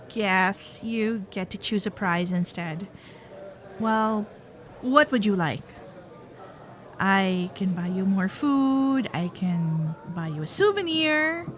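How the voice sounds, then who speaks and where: A woman voicing a sweet, playful fox-spirit girlfriend in an ASMR roleplay, coy and affectionate, with soft hesitations. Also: medium pitch. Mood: playfully flustered. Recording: festival crowd and fireworks sound effects.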